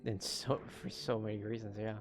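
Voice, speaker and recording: A man's voice: fairly low pitch, little pitch movement, fairly quick, faint music behind. A young man livestreaming a game, chatting casually in a laid-back way and often muttering to himself. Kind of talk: casual stream chat while gaming.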